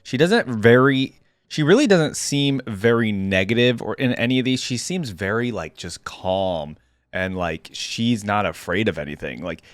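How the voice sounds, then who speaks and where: A man's voice: fairly low pitch, lively intonation, moderate pace, no echo, clean studio sound. A man hosting a reaction video, giving casual commentary.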